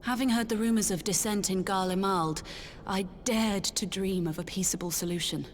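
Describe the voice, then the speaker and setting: A woman's voice: medium pitch, steady tone, moderate pace, a little hiss or hum, lightly acted. Woman playing a gracious, formal fantasy dignitary. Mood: wistful. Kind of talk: video game cutscene acting.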